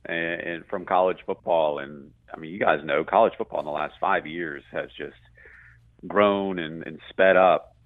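Male sports radio guest, deep voice, conversational and chatty, thin band-limited call sound with little room. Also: normal speed, no room sound. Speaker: radio play-by-play announcer, guest. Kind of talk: sports interview, conversational analysis. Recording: muffled, band-limited phone-like line.

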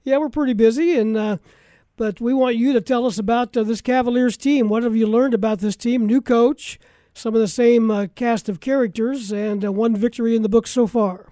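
Mellow-toned American male sports radio host. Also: extremely high pitch, steady tone, normal speed, dry recording, clean studio sound. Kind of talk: live sports radio talk and announcing.

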